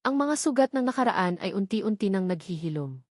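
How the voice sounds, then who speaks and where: A woman narrating a scripted first-person love story in a measured, earnest, heartfelt manner. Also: medium pitch, lively intonation, dry recording, studio quality.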